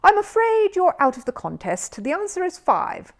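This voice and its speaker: A woman's voice: very high voice, wildly swooping pitch, moderate pace, pitched above the performer's own voice, heavily acted. A woman voicing a showy, exasperated male quiz-show host in a storybook, emphatic and condescending as if announcing to a hall. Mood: taken aback. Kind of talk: children's storybook character voice.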